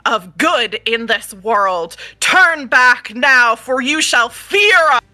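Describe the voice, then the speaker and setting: A woman voicing her own character, who issues a solemn, booming command from the air. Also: lively intonation, slow pace, bright, forceful tone, no echo, soft background music, exaggerated voice.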